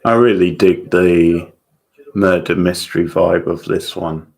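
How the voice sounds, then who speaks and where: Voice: deep voice, fairly even intonation, a little slow, gravelly, a little mellow. A watchalong host giving casual live reaction commentary in sparse, offhand remarks.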